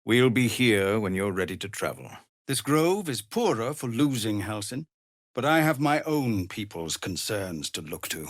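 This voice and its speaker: An actor playing a weary, dutiful leader of refugee outcasts in a video game, speaking formally and earnestly. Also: male voice, fairly low pitch, big pitch swings, a little slow, no room sound, clean studio sound. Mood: grave, weary. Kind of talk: dramatic video game voice acting.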